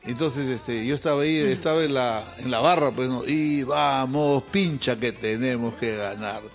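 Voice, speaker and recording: A man's voice: mid-range voice, normal speed, faint music behind. A man hosting a casual interview, joking playfully and laughing easily. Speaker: interviewer host.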